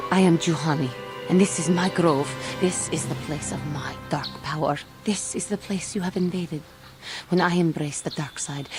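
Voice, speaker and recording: A tormented fallen Jedi woman played by an actress speaks in a deep, low female voice. She is proud and bitter, theatrical and intense in the manner of video game drama, over clearly audible music. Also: close to an everyday voice. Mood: menacing, proud. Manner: intense, theatrical, formal phrasing.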